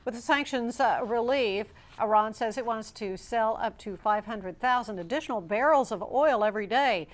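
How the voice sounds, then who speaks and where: A woman's voice: on the high side, moderate pace, few pauses, slight background noise. A woman anchoring a television newscast, reading scripted stories in a formal news delivery.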